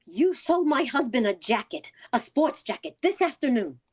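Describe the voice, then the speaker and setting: High-voiced, anxious, pleading wife played by a woman. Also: sing-song, normal speed. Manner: urgent, breathless pleading. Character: anxious, pleading, insistent wife hunting for answers. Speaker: actor in radio drama. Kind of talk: vintage radio drama acting.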